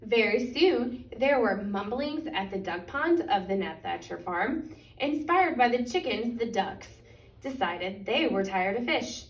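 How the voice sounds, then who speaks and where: Female storytime reader, higher register, expressive and deliberate picture-book delivery, recorded at a distance in a roomy space. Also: slight background noise. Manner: expressive, deliberate, stretching key words. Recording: echoey room, distant microphone.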